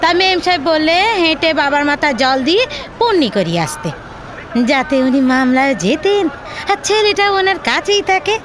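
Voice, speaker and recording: A noisy, busy street surrounds a very high-pitched village housemaid played by a woman in an audio drama. She is naive and flustered, with a rural West Bengali accent. Her folksy, hesitant talk rises and falls in big swings. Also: lively intonation, moderate pace, a crowd in the background. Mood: chatty.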